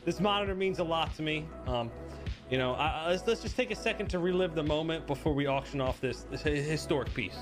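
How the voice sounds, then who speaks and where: A man's voice: fairly high pitch, quick pace, music in the background. A man bantering casually during an online roleplay game, heard as clip audio.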